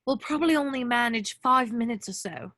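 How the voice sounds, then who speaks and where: A woman's voice: fairly high pitch, lively intonation, brisk, a bit bright, dry recording, clean studio sound. A woman playing an earnest, bossy, clever teenage schoolgirl, speaking insistently in an amateur script read. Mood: brisk planning. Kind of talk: amateur online screenplay table read.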